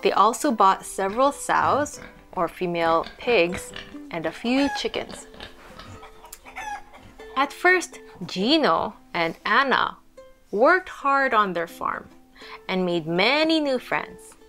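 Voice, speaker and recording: A woman reading a storybook aloud to children in an expressive, explanatory manner. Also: medium pitch, takes it slowly, soft background music.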